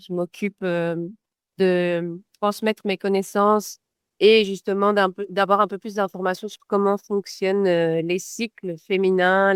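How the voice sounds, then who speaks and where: Smooth-voiced woman coach. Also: medium pitch, dry recording, clean studio sound. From Belgium. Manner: hesitant, many fillers, laughs easily. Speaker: guest, women's health coach. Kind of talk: casual interview answers.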